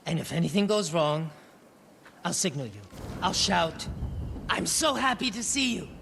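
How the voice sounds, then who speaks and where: An excitable roguish merchant, wildly swooping voice. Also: male voice, high voice, normal speed, vocal fry, noisy background, full character voice. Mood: sheepish. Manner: wheedling, theatrical. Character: excitable, roguish sake merchant and hapless schemer. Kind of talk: video game cutscene acting.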